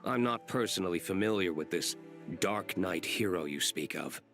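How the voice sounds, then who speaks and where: Low-voiced, aloof young nobleman. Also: lively intonation, moderate pace, fairly bright tone. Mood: cool, composed. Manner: reserved, formal, terse. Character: aloof young nobleman, composed, stern, courteous. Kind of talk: video game voice acting. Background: game music.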